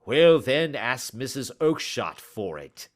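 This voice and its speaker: A man reading aloud and voicing an angry, quarrelsome market salesman who shouts fiercely. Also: mid-range voice, very animated, slow pace, voice pushed up.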